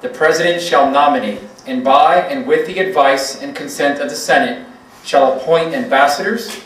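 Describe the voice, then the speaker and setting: Echoey, distant, male reader, higher pitch, formal public reading. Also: normal speed, a bit bright. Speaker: invited guest reader, government attorney. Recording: echoey room, distant mic.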